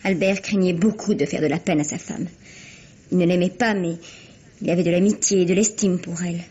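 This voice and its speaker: Proud young actress, husky voice. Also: female voice, lowish voice, steady tone, brisk, mellow. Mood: earnest, insistent. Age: young adult. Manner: theatrical, precise, insistent. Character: proud, assertive young actress, indignant and self-assured. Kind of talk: 1950s French radio mystery acting. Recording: dull, lo-fi vintage radio recording.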